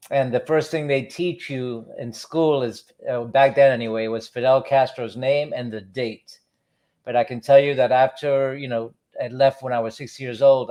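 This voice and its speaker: A middle-aged man with a medium-pitched voice reminisces as a DJ guest in an interview. He is relaxed and anecdotal, halting now and then as he recalls things. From born in Cuba, moved to the United States as a child. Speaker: DJ, podcast guest.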